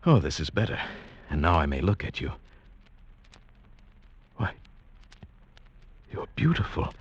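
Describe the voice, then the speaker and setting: An actor playing a proud, desperate castaway in a theatrical, intense 1940s radio drama style, as both character and narrator. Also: male voice, extremely low pitch, sing-song, measured pace, breathy edge, dull audio, heavily acted. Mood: awed, tender. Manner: theatrical, intense, swinging from hushed to frantic. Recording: vintage radio recording with faint music.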